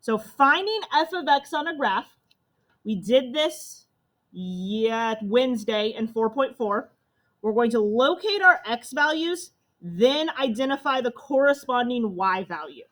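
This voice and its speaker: A young woman with a loud voice, teaching a math class step by step. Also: a little high, expressive, measured pace. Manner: step-by-step explaining to students.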